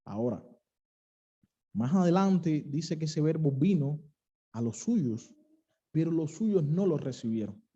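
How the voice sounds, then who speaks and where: A young man with a Cuban accent preaching an earnest sermon, pausing to stress key phrases. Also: fairly high pitch, expressive, warm tone, breathy edge, dull audio. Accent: Cuban, western coastal. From Cuba.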